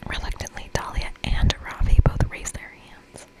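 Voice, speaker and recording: A woman whispering softly as an ASMR host, reading a game aloud expressively. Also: normal speed. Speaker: ASMR host playing a visual novel game.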